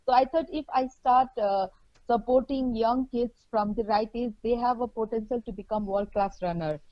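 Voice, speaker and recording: A woman's voice: a little high, moderate pace, no echo, highs cut off. A middle-aged woman from the Darjeeling hills, a Nepali native speaker, answering interview questions in a hesitant way with many fillers. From India, Darjeeling and Kalimpong hills. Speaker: guest, runner and lawyer.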